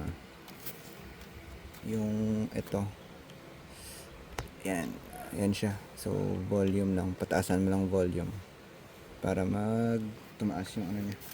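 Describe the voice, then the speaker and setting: A man's voice: on the low side, mellow. A man with a Filipino accent giving a casual hands-on gear demonstration, thinking aloud in a tentative way. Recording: faint background noise.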